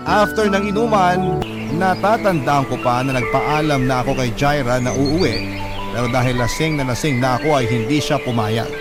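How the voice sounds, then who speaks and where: A man's voice: mid-range voice, normal speed, close to an everyday voice. An actor playing a heartbroken young man who earnestly recounts his story in a radio drama, with music behind. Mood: confessional. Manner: earnest, confessional, addresses the host politely. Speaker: letter sender narrating.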